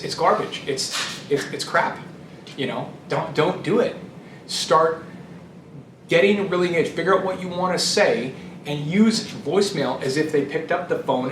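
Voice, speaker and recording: Man, blunt sales trainer, emphatic repetition, conversational, distant mic, noisy echoey room. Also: medium pitch. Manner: emphatic, blunt, repeats phrases for stress. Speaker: sales trainer and business show host.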